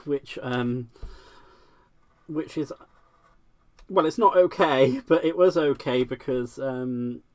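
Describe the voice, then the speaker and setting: A man's voice: mid-range voice, slow pace, darkish tone. A man with a West Country accent chats casually and laughs often while he works on a craft project during a livestream. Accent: West Country English. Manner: chatty, self-deprecating, laughs often. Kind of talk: casual live-stream chat while crafting.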